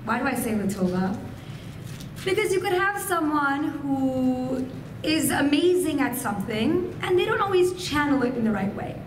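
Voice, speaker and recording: A woman in her thirties whose first language is Farsi, giving an expressive, gesturing keynote speech from a podium microphone. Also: high voice, normal speed. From Persian family. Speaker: keynote speaker. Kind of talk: inspirational speech to women. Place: hotel function room, indoors. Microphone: podium microphone on a stand. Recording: echoey room with background noise.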